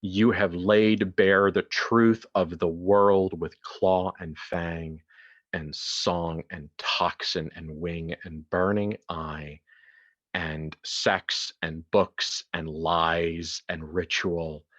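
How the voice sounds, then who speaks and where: A man narrating a horror tabletop game as game master, theatrical and deliberate with long pauses, in dull lo-fi sound. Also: low-pitched, level tone, fairly bright tone.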